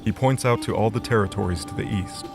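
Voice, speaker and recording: Male narrator, lowish register, scripted fantasy storytelling, prominent music bed. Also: fast talker, vocal fry. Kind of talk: scripted audio drama narration.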